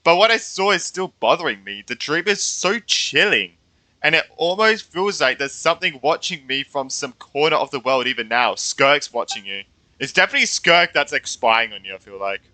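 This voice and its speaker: Male game streamer: very bright, slightly high voice, casual and excitable, reacting as he plays. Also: normal speed, no room sound, poor audio. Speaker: gaming streamer. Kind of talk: casual game playthrough commentary.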